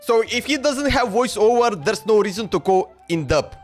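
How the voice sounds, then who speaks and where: A man's voice: high voice, lively intonation, normal speed, faint music behind. A man in his twenties with a Slovenian accent, giving casual, rambling livestream commentary on a game. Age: 20s. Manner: rambling, exclamatory.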